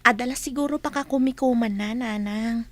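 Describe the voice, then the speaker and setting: A woman acts out a young wife in a radio drama. Her voice is fairly high, and she sounds trusting and hopeful, like a grown daughter talking at home. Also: brisk, close to an everyday voice. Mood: reassuring. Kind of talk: Philippine radio drama acting.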